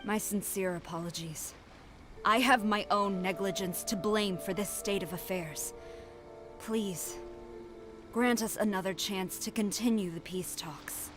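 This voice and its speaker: A woman's voice: mid-range voice, measured pace, music in the background, natural voice. A woman playing a proud, stern military general in a video game, formal and resolute in her delivery. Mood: formally apologetic. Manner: formal, resolute, clipped dignity.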